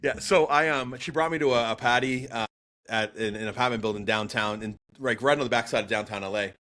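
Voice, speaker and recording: A man in his forties with a Boston accent, a podcast guest telling his story in a chatty, animated way with lots of fillers and restarts. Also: mid-range voice, fast. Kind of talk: storytelling interview answer.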